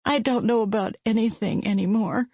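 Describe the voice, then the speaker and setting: A woman's voice: fairly high pitch, steady tone, normal speed, warm tone, breathy edge, no room sound, muffled recording, pitched above the performer's own voice. Woman reading as a distraught young mother. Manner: pleading, breaking voice. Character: distraught young mother, tearful and self-blaming.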